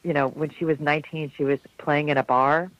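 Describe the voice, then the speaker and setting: Husky-voiced man telling stories. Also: fairly even intonation, brisk, no echo, muffled recording. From South Florida, USA. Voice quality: low, slightly husky voice. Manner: rambling, warm anecdotes with frequent restarts and laughs. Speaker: jazz singer, guest. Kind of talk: casual interview storytelling.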